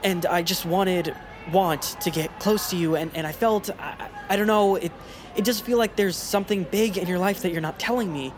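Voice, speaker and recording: An actor playing an earnest teenage boy activist in an audio drama, speaking sincerely with halting hesitation. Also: sits high, a little hiss or hum, lightly acted. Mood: apologetic, earnest. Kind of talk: naturalistic audio drama acting.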